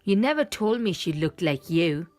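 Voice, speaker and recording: A woman's voice: on the low side, sing-song, moderate pace, a little mellow, faint music behind. A woman voicing a helpful but muddled butterfly in a children's picture book, eagerly coaxing and confident as a guide.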